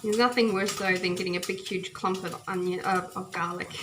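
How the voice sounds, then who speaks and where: A woman's voice: lowish voice, fairly even intonation, brisk. Chatty woman cooking in a sizzling kitchen. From New Zealand. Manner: cheerful and chatty, often laughing, talks while chopping and stirring. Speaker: home cooking video host. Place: home kitchen. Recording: noisy home kitchen with frying sizzle. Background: food sizzling and kitchen clicks.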